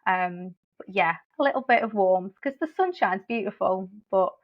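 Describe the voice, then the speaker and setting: A woman's voice: mid-range voice, moderate pace, highs cut off. A woman, an early years teacher, chatting as a podcast guest and laughing often. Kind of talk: casual podcast chat.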